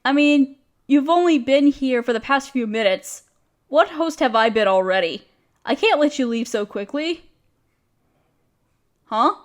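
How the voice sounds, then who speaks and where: A woman's voice: high-pitched, lively intonation, a little slow, pauses often. A woman playing an overworked, apologetic young woman confiding hesitantly in a close friend in an intimate audio roleplay. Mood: sheepish, warming. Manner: self-deprecating, hesitant, casual. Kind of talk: roleplay audio drama, intimate one-sided conversation.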